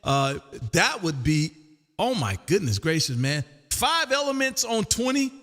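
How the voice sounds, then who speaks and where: A loud, folksy man with a slightly high voice, chatting as a ham radio operator. He is exuberant and tends to repeat himself. The sound carries a long, roomy reverb. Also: big pitch swings, measured pace, faint music behind. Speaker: amateur radio operator hosting a live stream. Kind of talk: casual ham radio chat. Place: home radio room.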